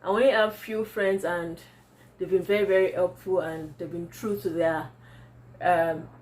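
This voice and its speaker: A woman's voice: fairly low pitch, fairly even intonation, unhurried, darkish tone, a little hiss or hum, poor audio. Chatty Nigerian woman vlogger, laughing often. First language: Yoruba. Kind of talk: casual vlog chat, reading viewer comments aloud.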